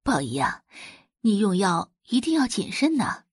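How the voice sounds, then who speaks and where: A woman's voice: medium pitch, big pitch swings, a little slow, breathy edge, dry recording, clean studio sound, exaggerated voice. A woman voicing a proud, doting middle-aged mother who fondly instructs and fusses in an audio drama. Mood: cautioning. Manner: fond, instructing, fussing.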